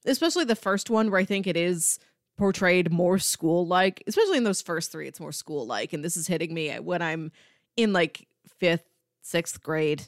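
A woman's voice: on the low side, animated, moderate pace, no room sound. Casual woman chatting, close dry sound. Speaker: podcast co-host discussing comics. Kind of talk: casual book discussion chat.

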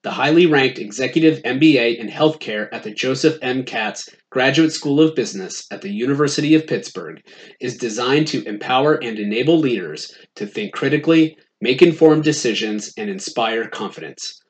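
A man with a mid-range voice reads a sponsor spot, polished and persuasive. Each phrase is delivered with a steady, scripted finish. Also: medium pitch, normal speed. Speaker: ad announcer. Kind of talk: scripted ad read.

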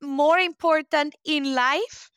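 Bright, high-voiced woman with a Spanish-tinged accent. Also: slow, no echo. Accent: Spanish-influenced. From Colombia. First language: German. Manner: warm, laughs often, repeats words while searching for phrasing. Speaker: podcast guest. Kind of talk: conversational interview.

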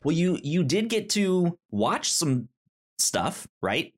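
A man's voice: fairly high pitch, big pitch swings, takes it slowly. A man co-hosting a podcast, chatting casually and reacting in short, loose phrases. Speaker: podcast co-host. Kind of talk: casual chat.

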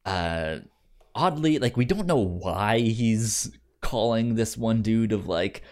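A man's voice: on the low side, expressive, a little mellow. A man co-hosting a film podcast in casual chat, stuttering over sounds and words and acting out lines he paraphrases. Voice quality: stutter, repeats sounds and words many times. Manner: halting, acts out paraphrased lines. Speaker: film review podcast co-host.

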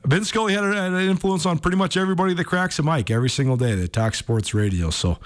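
A man's voice: a little high, quick pace. An American man hosting a sports talk radio show, commenting casually and thinking aloud as he goes.

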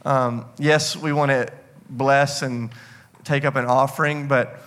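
A man talks in a casual, earnest way to a gathered congregation. He has a medium-pitched voice and the effusive manner of a pastor hosting guests on stage. He often doubles back on a phrase to press it home. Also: steady tone, takes it slowly, fairly bright tone, clear, resonant tone. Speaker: church pastor hosting an interview.

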